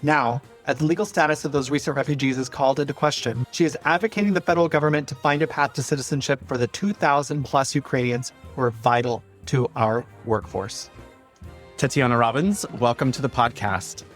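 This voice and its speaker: Measured male podcast host. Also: medium pitch, soft background music. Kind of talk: scripted intro and interview questions.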